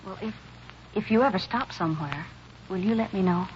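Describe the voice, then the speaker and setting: Casting: actress as gentle young American farm woman, medium-pitched, sincere, dull old radio recording. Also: brisk, a little hiss or hum, natural voice. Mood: hopeful. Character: earnest, hopeful, tender young farm woman. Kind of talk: 1940s radio acting.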